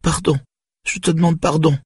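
A man's voice: fairly low pitch, steady tone, moderate pace, slightly rough, dry recording, clean studio sound, pitched above the performer's own voice. A man voicing a sullen, defiant and tearful little girl in an audiobook story. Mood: sobbing plea. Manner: muttering or pleading tearfully.

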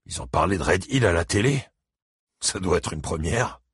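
A man voicing a battered, lonely ex-convict father, gruff, curt and wry, in an audiobook novel. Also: low-pitched, expressive, moderate pace, a bit bright, no echo, clean studio sound, exaggerated voice.

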